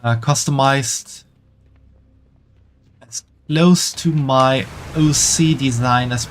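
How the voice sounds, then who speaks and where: A man with a German accent, talking casually with his chat while he plays. His voice sits at an ordinary pitch, and he answers questions in a friendly way. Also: slow. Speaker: streamer.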